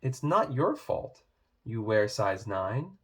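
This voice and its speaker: A man's voice: fairly low pitch, sing-song, slow, a bit bright, exaggerated voice. A man voicing a prim, protesting but forgiving young woman from a children's fairy-tale storybook. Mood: alarmed. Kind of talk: children's storybook read-aloud.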